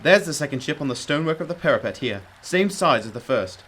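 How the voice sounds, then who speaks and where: A man's voice: fairly high pitch, sing-song, fast, fairly bright tone, slight background noise. A man playing a brilliant, commanding detective in a radio drama, explaining emphatically with old-fashioned courtesy. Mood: assured, explanatory. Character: brilliant, commanding, self-critical male detective.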